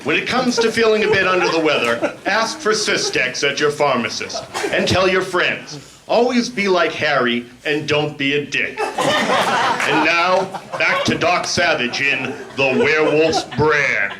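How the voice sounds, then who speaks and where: A man's voice: on the high side, lively intonation, unhurried, over a noisy crowd. A man announcing a live radio play in old-time serial style, on a noisy stage recording.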